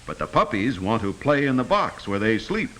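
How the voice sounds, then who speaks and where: A man's voice: on the low side, sing-song, normal speed, a bit bright. A man narrating a 1950s educational film, telling a simple story earnestly, as if for children. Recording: noisy vintage 1950s film soundtrack.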